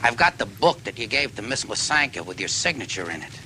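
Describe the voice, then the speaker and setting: A man acts out a rumpled, deferential detective who keeps pressing his suspect. His voice is keen-edged and swings widely in pitch as he muses in short, folksy phrases. It is dramatic dialogue with clear background noise. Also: very animated, pushed and bright, exaggerated voice, rough recording. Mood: firm, pressing. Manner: halting, musing, folksy delivery in short phrases. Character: dogged, deferential, rumpled male police detective closing in on a killer.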